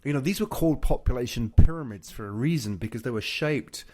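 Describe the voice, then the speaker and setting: A man's voice: mid-range voice, expressive, brisk, a little mellow. A man with a British accent hosts a conversational discussion. He explains step by step and often punctuates his points with short check questions. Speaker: show host. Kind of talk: conversational discussion of personal finance.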